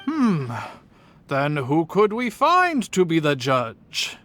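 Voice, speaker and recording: An earnest young male bartender, high in pitch and lively in intonation. He is polite and modest, slightly hesitant, and thinks his way through what he says. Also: slow, full character voice. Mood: eager, polite. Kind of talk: English video game voice acting.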